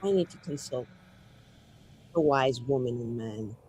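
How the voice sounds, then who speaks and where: A woman's voice: very deep voice, unhurried, warm tone, slight background noise. A woman playing a tabletop roleplaying game, chatting casually with theatrical, sweary reactions. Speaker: tabletop RPG player. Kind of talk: casual chat, dramatic reactions.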